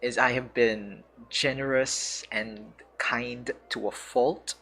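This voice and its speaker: Hesitant Filipino man with husky voice. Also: mid-range voice, steady tone, slow, sharp tone. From Philippines. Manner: hesitant, searching for words, laughs often. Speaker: streamer and English teacher. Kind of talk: casual game commentary.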